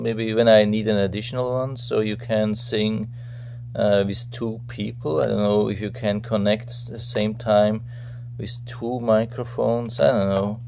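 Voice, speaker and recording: A man's voice: lowish voice, vocal fry, a little mellow, a little hiss or hum. A man giving a casual, unscripted product unboxing commentary, thinking aloud in a hesitant, rambling way. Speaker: product reviewer.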